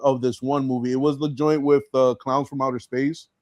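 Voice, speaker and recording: A middle-aged man with an American accent hosts a podcast in a casual, streetwise way full of slang. His voice sits at a middle pitch and is recorded very dry. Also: flat delivery, moderate pace, darkish tone, no echo. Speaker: podcast host. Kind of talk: casual chat.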